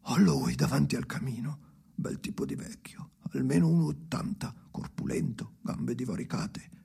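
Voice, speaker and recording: An actor playing a brooding, haunted old storyteller in a radio play, hoarse and often near a whisper, speaking in halting, repeated fragments. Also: male voice, medium pitch, big pitch swings, mellow, full character voice. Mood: anguished, fading. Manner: halting, fragmented, incantatory repetition. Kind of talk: slow dramatic radio play monologue.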